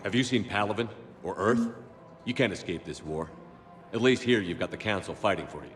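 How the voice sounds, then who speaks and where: A man's voice: deep voice, lively intonation, brisk, big-room sound. An actor playing a steadfast male military commander in a sci-fi game, speaking in a firm, earnest, rallying way. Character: resolute military commander, steadfast male leader in space war. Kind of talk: video game voice acting.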